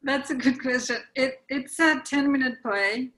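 Soft-spoken woman playwright on a call. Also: sits high, a little slow. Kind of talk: casual group discussion. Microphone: video call.

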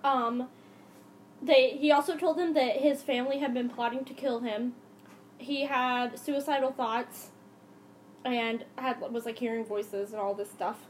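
A woman's voice: on the high side, fairly even intonation, unhurried, slight background noise. A young adult woman hosting a podcast, telling a true crime story in a casual, conversational way.

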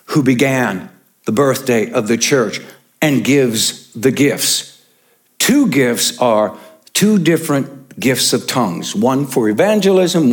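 A man preaching in church with a lively, rising-and-falling voice. He talks slowly and deliberately, stressing and repeating each point for the congregation. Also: mid-range voice. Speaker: pastor. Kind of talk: sermon.

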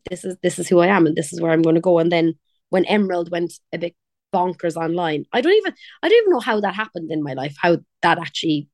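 A woman's voice: lowish voice, expressive, fast talker, a little mellow, no room sound. Chatty young Cork woman, dry sound. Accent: Cork Irish. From Ireland. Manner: chatty, laughing, quoting others in voices. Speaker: pop singer, guest. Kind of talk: casual interview chat.

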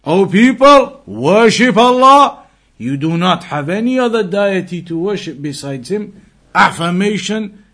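A man's voice: high voice, fairly bright tone. A man giving a religious lesson in a didactic, emphatic way, repeating key phrases and reciting quotations. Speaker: Islamic teacher.

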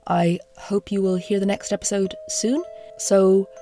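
A woman with a croaky voice from a chest infection, hosting a podcast and retelling an old myth in a slow, hushed, half-whispered way. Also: medium pitch, little pitch movement, faint music behind. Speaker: podcast host and storyteller.

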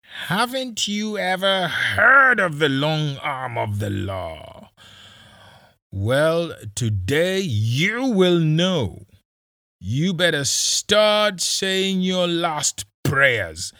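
A man voicing a cruel, mocking middle-aged male police squad leader, with a loud, slow, drawn-out delivery. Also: a little high, big pitch swings, dry recording, clean studio sound, voice pushed up, full character voice. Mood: menacing.

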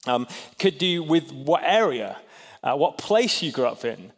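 A man in his thirties from Hong Kong gives a chatty, self-deprecating sermon, slightly high-pitched for a man. He keeps asking the congregation questions. His voice rings with the long reverb of a large church hall. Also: sing-song, unhurried. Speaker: pastor.

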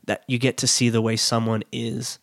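A man in his late twenties talking as an interview guest, thoughtful and halting, often restarting. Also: mid-range voice, level tone, gravelly, no echo, studio quality. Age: late 20s. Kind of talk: casual interview chat.

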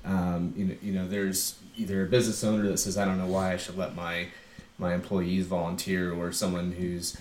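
A man with a very deep voice moderates a casual panel and asks questions of the guests. His voice sounds roomy and echoey, with faint background noise. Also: level tone, normal speed, a little mellow. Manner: conversational, posing questions.

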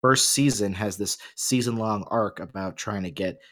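A man's voice: lowish voice, moderate pace, a bit bright. A man co-hosting a casual discussion, explaining his opinions emphatically. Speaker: podcast co-host.

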